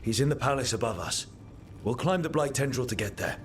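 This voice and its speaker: An actor playing a steady, determined heroic leader in a fantasy game, speaking earnestly and resolutely. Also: male voice, medium pitch, fairly quick, slight background noise, natural voice. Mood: commanding. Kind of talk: dramatic video game acting.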